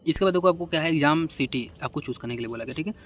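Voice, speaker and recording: A man's voice: a little high, rapid-fire, slight background noise. A man giving casual, step-by-step instructions as a tutorial host, often adding short check-in tags.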